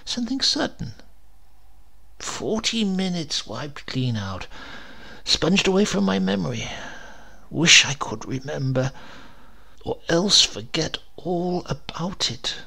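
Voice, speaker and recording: A man voicing a troubled, precise English gentleman in clipped diary entries for a horror story. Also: a little high, sing-song, takes it slowly, gravelly, pauses often, slightly rough, pitched above the performer's own voice, exaggerated voice. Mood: brooding. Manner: clipped, telegraphic diary phrasing.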